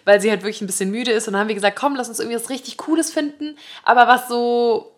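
A woman's voice: on the high side, fairly even intonation, quick pace. A young woman co-hosting a podcast, chatting casually and mixing lots of English slang into her talk. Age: young adult. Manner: mixes English slang into German. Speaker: podcast co-host. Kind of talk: casual chat between friends.

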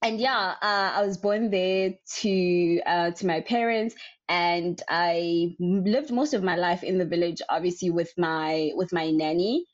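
A woman's voice: fairly low pitch, steady tone, unhurried. A young woman from South Africa, a guest answering interview questions casually, rambling with many ums. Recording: dull lo-fi sound.

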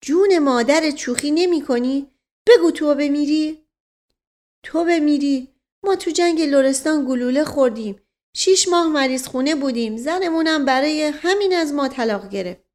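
A woman acts out an old country manservant from a comic audiobook. He is chatty and anxious, with a folksy, coaxing way of talking. The voice sits high and agitated. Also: animated. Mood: astonished, worried. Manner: folksy, wheedling, repeats pet phrases. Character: anxious, talkative old rustic manservant, male. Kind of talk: audiobook character acting.

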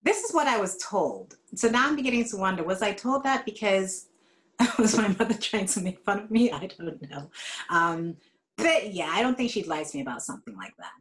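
A woman's voice: mid-range voice, very animated, brisk, a bit bright. A woman with a mixed Ghanaian, British and American accent, chatting as a guest in a casual podcast interview and often saying um. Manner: chatty, with frequent ums.

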